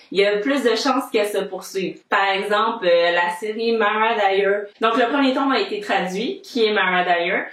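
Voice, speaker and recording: A woman's voice: mid-range voice, a bit bright. A young woman with a Québécois French accent, chatting casually to camera as a book vlogger and laying out her reasons one by one. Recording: roomy echo.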